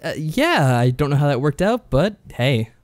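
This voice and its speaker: A man's voice: on the high side, very animated, moderate pace, dry recording. Male podcast co-host, understated and dry, casual review chat, recorded with very little room sound.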